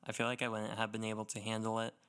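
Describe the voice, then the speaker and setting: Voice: medium pitch, completely flat delivery, fast, fairly bright tone, smooth. A podcast co-host in casual chat, informally chiming in with questions and reactions. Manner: informal, interjecting listener.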